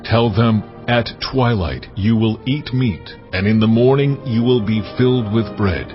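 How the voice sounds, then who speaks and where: An actor playing God as a solemn, authoritative deity giving commands in a dramatized scripture reading. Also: male voice, fairly low pitch, a little slow, close to an everyday voice. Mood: grave. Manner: grave, authoritative. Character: the Lord, solemn almighty God commanding his people. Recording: dull band-limited audio with music.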